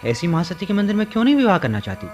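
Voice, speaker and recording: A man's voice: high-pitched, sing-song, very fast, dull audio, background noise. An actor playing an earnest, questioning man courted by a ghost in a radio drama, with a theatrical delivery. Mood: incredulous. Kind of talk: Hindi radio play acting.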